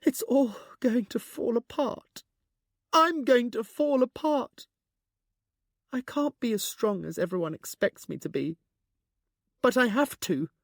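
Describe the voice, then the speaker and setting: A man doing a grieving, high-voiced young woman. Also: lively intonation, normal speed, no room sound, studio quality. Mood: distraught. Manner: high, trembling, pleading.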